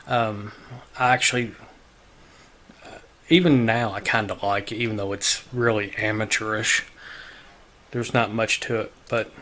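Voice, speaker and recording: A man with a weak voice that is starting to give out, casually talking through a software tutorial in an informal, self-deprecating way. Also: medium pitch. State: weak voice, losing his voice. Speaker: tutorial host. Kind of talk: casual screen-recorded software walkthrough.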